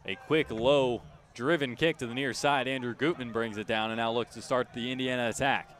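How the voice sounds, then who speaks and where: A man's voice: mid-range voice, steady tone, fairly quick, a bit bright. Man calling live soccer play-by-play. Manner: clipped running description, urgent and emphatic. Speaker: play-by-play announcer. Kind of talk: live radio soccer commentary.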